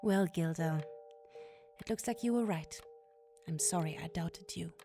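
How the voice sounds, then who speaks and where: A woman's voice: lowish voice, unhurried, music in the background. A woman acting a cold, imperious crime boss in an audio drama, with a dismissive manner. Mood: cool, dismissive. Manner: imperious, dismissive, casually cruel.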